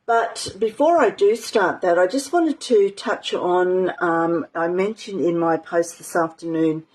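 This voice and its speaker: Chatty woman with a mellow, croaky voice. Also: lowish voice, a little slow, rough recording. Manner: chatty, rambling with many ums. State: croaky, clearing her throat. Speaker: art journaling teacher. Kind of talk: casual live craft demonstration.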